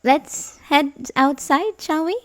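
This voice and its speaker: A woman plays a young babysitter in an intimate ASMR roleplay, sweet on the surface and quietly obsessive underneath. Her voice is on the high side and she takes her time, halting and giggling softly as she coaxes the listener. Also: lively intonation. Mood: coaxing, playful. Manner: hesitant, halting, with little giggles. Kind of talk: ASMR roleplay acting, intimate one-sided dialogue.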